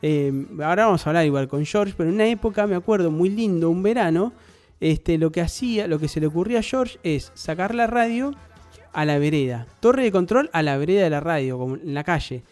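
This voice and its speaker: A man's voice: a little high, lively intonation, brisk, a little mellow, soft background music. A man with an Argentine Rioplatense accent, a radio host telling a nostalgic story in a chatty, rambling way full of tag questions.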